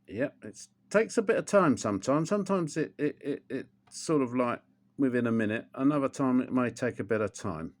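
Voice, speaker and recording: A man's voice: mid-range voice, expressive, brisk. An older man, chatting casually through a how-to demonstration at home, rambling with plenty of ums and restarts. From England. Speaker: motorcycle vlogger.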